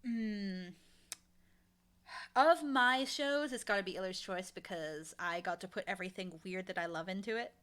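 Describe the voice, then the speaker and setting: A woman speaking as an interview guest, casual and enthusiastic, with an animated, emphatic way of talking about creative work. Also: medium pitch, normal speed. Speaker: interview guest, audio drama creator and showrunner.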